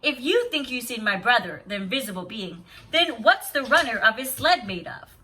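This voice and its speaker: A woman's voice: a little high, expressive, normal speed, bright, forceful tone, slight background noise. A woman voicing a stern, testing sister who guards her brother in a storybook. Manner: firm, challenging, commanding.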